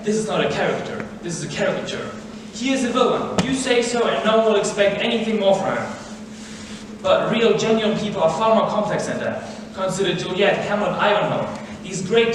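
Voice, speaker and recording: A teenage male student playing an earnest, candid scholarly man in a stage drama, speaking in a deliberate, instructive way. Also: high-pitched. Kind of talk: high school stage drama. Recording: echoey theater, distant mic, noisy.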